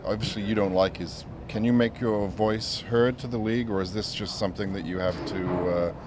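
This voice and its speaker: A man, a reporter, asking a coach questions at an outdoor scrum, halting over fillers. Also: lowish voice, steady tone. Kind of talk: press questions. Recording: noisy outdoor press scrum.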